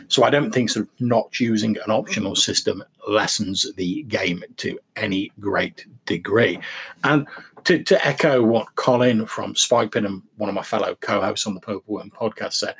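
A man hosting a podcast, talking reflectively in a measured, explanatory and courteous way. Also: lowish voice, vocal fry, breathy edge. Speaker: podcast host. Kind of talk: reflective solo podcast talk.

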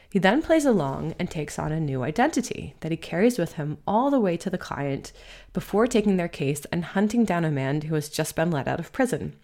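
A woman with a notably low voice and a good deal of vocal fry narrates a written essay. The delivery is measured and bookish, as an author reading their own literary piece for listeners.

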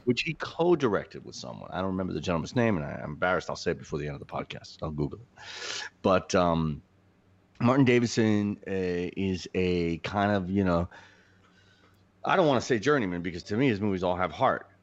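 Low-voiced man, dull dry lo-fi sound. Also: fairly quick, no echo. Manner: animated, swears, jokes, gets choked up. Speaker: filmmaker guest. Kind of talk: casual podcast chat.

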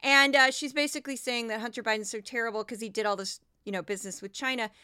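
A woman's voice: on the high side, steady tone, fast talker, pushed and bright, clear, resonant tone, dry recording, clean studio sound. A woman hosting a podcast, giving sarcastic, casual commentary between news clips. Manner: sarcastic, wry. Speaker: podcast host.

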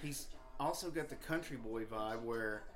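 A man's voice: mid-range voice, unhurried, a bit bright. A man with a light Southern accent, co-hosting a casual sports podcast, talking in a rambling way with many restarts. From southern United States. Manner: rambling, full of filler words and restarts. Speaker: podcast co-host. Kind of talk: casual chat about football.

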